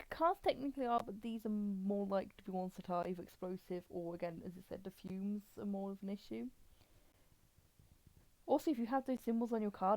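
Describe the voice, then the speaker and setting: Woman streamer chatting casually, soft dark voice, rambles while explaining stuff, very dry audio. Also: mid-range voice, no room sound, poor audio. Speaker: live stream host.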